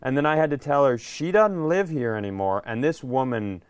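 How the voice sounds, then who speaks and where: A man's voice: medium pitch, normal speed, clean tone, dry recording. A man co-hosting a podcast, rambling through stories and opinions in a wry, casual way. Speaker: podcast co-host. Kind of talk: casual comic chat.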